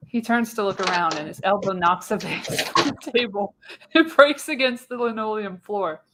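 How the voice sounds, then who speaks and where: A woman's voice: mid-range voice, normal speed, noisy background. A woman reading scripted stage directions as narrator in a live radio play reading. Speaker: narrator, show host.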